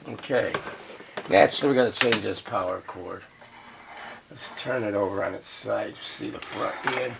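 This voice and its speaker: A man's voice: lowish voice, normal speed, vocal fry. A man giving casual, unscripted commentary as he works on a repair, half talking to himself as he inspects and handles parts. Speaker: radio repair hobbyist narrating a restoration. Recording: noisy workshop recording with clicks.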